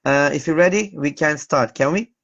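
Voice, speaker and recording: Turkish-accented man hosting, gravelly voice. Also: on the high side, normal speed, a bit bright. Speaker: host of an online teacher talk series. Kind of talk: casual hosted live interview. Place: online video call live stream. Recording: dull, lo-fi live-stream audio.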